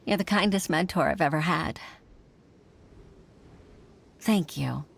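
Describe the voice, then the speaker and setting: A game mom secretary, middle-aged, kinda low voice, humble and sincere, a little hesitant. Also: female voice, takes it slowly, vocal fry, breathy edge, heavily acted. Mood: grateful. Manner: hesitant, sighing, heartfelt. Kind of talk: video game character acting.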